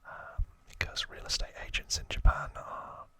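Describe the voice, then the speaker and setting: A man speaks in a soft, breathy whisper as an ASMR host. The whisper is very bright and sibilant, with almost no voiced tone. He reads and comments in a hushed, deliberate way. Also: no echo.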